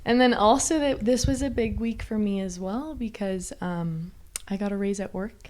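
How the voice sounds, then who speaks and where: Young woman podcast host, bubbly and chatty, keeps saying you guys, mid-pitched voice. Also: normal speed, darkish tone. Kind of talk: casual chat.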